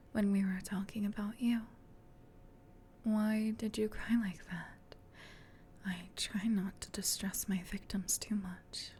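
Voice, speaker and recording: A gentle, caring female sleep paralysis demon, acted by a woman whispers softly in a comforting ASMR roleplay. Her delivery is slow and even, breathy and tender, close to the mic. A faint low rumble sits behind her. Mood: soft, musing. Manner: tender, reassuring, unhurried.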